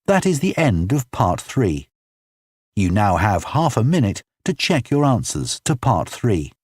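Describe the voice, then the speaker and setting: A husky-voiced man with a fairly low pitch announces exam instructions in a formal, scripted style. His intonation moves widely, and the sound is very dry. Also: sing-song, unhurried, no room sound.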